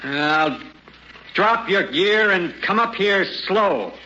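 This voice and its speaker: Gruff suspicious frontier prospector, sharp-edged. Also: male voice, high voice, expressive, takes it slowly, full character voice. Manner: folksy, rough rural speech, wary. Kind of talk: old-time radio drama acting. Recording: muffled, noisy old radio recording.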